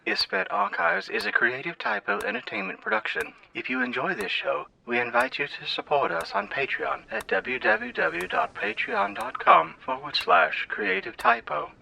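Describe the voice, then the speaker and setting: A male announcer reads a scripted disclaimer. His voice is high, extremely bright and heavily gravelled with creak, over faint noise. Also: moderate pace, few pauses, poor audio.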